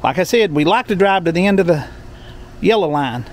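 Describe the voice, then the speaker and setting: A man's voice: sits high, animated, fairly quick. A man, talking casually to viewers in a travel vlog, folksy and chatty, calling them folks. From Appalachian Kentucky. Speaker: travel vlogger. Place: in a car and a country store.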